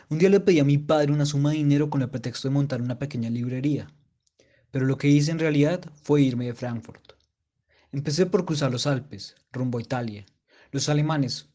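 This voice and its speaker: A man acts as a mature German war veteran looking back on his youth in a radio play. His voice is mid-pitched and very mellow, in measured storytelling style. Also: close to an everyday voice. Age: middle-aged.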